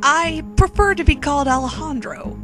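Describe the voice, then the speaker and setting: A woman's voice: high voice, normal speed, music in the background, voice pushed up, close to an everyday voice. A woman voicing a suave, courteous young Latin man, a touchy romantic charmer, in a dramatic fan fiction reading. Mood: earnest, glum.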